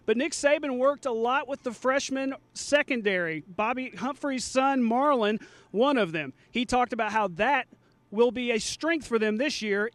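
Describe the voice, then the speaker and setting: A man's voice: extremely high pitch, fairly bright tone, dry recording. A man anchoring a live sportscast in a conversational, jokey style.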